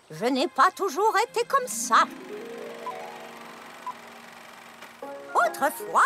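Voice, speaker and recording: A woman voicing a feisty elderly farm pony grandmother in a French cartoon dub, with folksy, emphatic delivery. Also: fairly high pitch. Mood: wistful, apologetic. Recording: music behind the dialogue.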